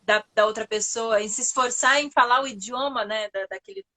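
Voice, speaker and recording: A Brazilian woman hosting a casual interview, firing off quick, curious questions one after another. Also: on the high side, bright, forceful tone. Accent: Brazilian Portuguese.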